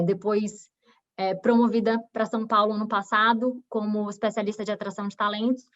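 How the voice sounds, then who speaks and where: Brief: woman, 20s, bahian accent, talkative guest, muffled video-call sound. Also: medium pitch. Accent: Bahian Brazilian Portuguese. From Bahia, Brazil. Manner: chatty, many fillers and restarts. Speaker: guest, recruiter. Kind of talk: webinar interview. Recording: dull lo-fi video call audio.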